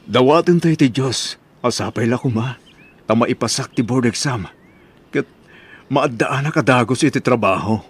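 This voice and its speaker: A man playing an earnest, devoted young college student in a radio drama, with a husky voice. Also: medium pitch, moderate pace, long pauses, natural voice. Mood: tender, hopeful.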